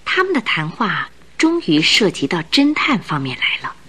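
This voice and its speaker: Female narrator, expressive storytelling, lively pitch movement, scripted radio drama, music behind. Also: normal speed.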